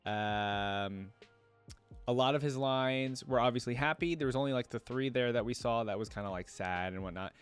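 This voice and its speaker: A man with a medium-pitched voice talks casually over music. He rambles through his commentary like a video host, tagging thoughts with little check-in questions. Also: moderate pace, smooth. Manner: rambling, checks in with "right?" and "you know". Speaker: YouTube reaction host.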